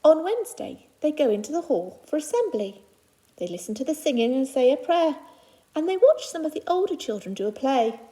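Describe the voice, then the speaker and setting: A woman's voice: high voice, big pitch swings, brisk, warm tone. A woman teacher reading a children's picture book aloud to young pupils, phrasing it simply and adding reassuring asides in her own words.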